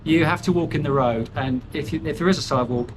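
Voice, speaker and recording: A man's voice: fairly high pitch, quick pace, background noise. An older British man chatting candidly as an interview guest, rambling with fillers and restarts and poking fun at himself. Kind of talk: casual chat.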